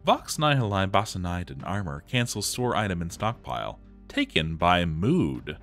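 Brief: male narrator, very low pitch, dramatic scripted read with music underneath. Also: sing-song, moderate pace, a bit bright. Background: background music with guitar.

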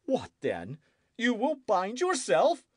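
A man's voice: sits high, big pitch swings, a little slow, no room sound, studio quality, pitched above the performer's own voice. A man reading an audiobook, voicing a suspicious, petulant young French king in a querulous, demanding way. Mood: pressing. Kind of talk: audiobook character voice.

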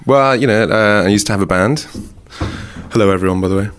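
A British man with a low voice talks casually as a radio guest. He is dry and self-deprecating in his delivery. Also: fast talker, a little hiss or hum. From London, England. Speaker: guest, bar owner. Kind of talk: casual chat. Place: radio studio, live broadcast.

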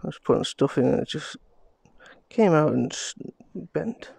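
A man in his thirties mutters through a casual vlog, recorded on a handheld phone outdoors among pine trees. His speech is slow and unhurried, with a gravelly, husky edge. Now and then he swears quietly under his breath. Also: fairly high pitch, lively intonation, no echo. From Scotland. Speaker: vlogger. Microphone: handheld phone camera.

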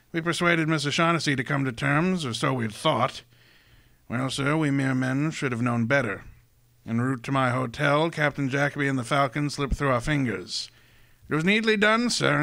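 An actor playing a courtly, pompous criminal mastermind, genial but menacing, with florid formal speech in an old-time radio drama. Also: male voice, mid-range voice, vocal fry, a bit bright. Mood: suave.

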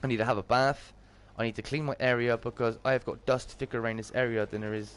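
A British man with a slightly croaky, just-woken voice, hosting a casual livestream and greeting viewers chummily. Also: mid-range voice, steady tone, fast. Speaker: live streamer and host.

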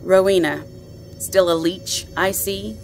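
A woman playing a protective, dangerous mother in a supernatural audio drama, speaking in a measured, cool, imperious manner. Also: medium pitch, fairly even intonation, faint music behind, close to an everyday voice. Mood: cold, defiant. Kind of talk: audio roleplay drama acting.

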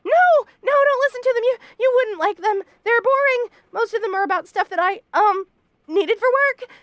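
Very high-pitched flustered bunny girl. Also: lively intonation, normal speed, fairly bright tone, no echo, clean studio sound. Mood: nervous, evasive. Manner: stammering, nervous, flustered denial. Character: cute flustered bunny girl roommate, bashful and evasive. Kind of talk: roleplay audio acting, addressed to the listener.

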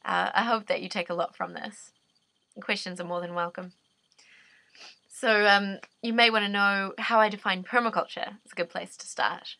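Bright-voiced New Zealand woman explaining ideas. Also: medium pitch, fairly even intonation, moderate pace, dry recording. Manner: earnest, searching for words with restarts. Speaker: permaculture designer. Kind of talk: unscripted explanatory monologue. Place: dim indoor room, seated. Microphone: laptop webcam at desk.

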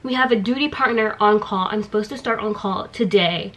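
A young woman chatting casually to camera in a small dorm room, animated and quick with asides. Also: medium pitch. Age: young adult. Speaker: vlogger. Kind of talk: casual vlog chat to camera. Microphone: handheld camera close up.

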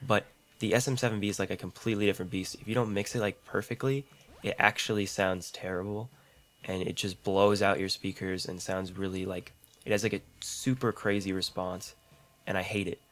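A young man with a deep voice and a slightly rough throat, chatting casually through gameplay commentary into a condenser mic, rambling and self-deprecating, with audible mouth clicks. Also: level tone, fairly quick, a bit bright. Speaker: gaming YouTuber. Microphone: large condenser studio mic.

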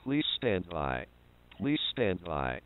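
A man's voice: low voice, big pitch swings, slow, phone-quality sound. A man reading an official emergency alert announcement in a stern, formal tone.

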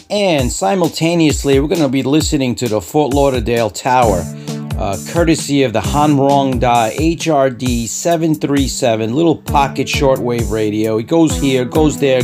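Casual man hosting over music. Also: mid-range voice. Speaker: video channel host. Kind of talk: casual vlog narration over plane-spotting footage.

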